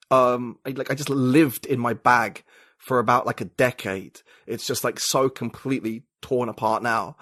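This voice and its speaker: A man's voice: mid-range voice, no echo, clean studio sound. A man, a podcast guest talking reflectively and searching for his words in casual conversation.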